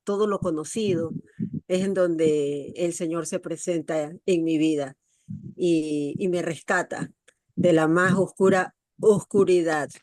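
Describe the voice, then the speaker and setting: A woman's voice: lowish voice, a little mellow, no room sound. A middle-aged woman with an Ecuadorian accent gives a personal religious testimony, repeating words as she searches for the next one. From Guayaquil, Ecuador. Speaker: guest speaker giving testimony. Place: online meeting.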